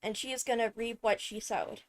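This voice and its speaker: A woman giving enthusiastic fan commentary, thinking aloud with dramatic, deliberate phrasing and emphatic pauses. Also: on the high side. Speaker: video creator analysing a game character.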